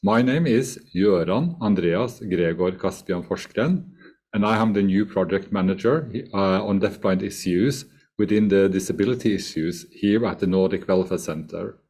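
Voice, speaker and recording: Man, low pitch, mellow tone, formal measured welcome, webinar host. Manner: formal, measured, ceremonious greeting. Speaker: project manager opening a webinar.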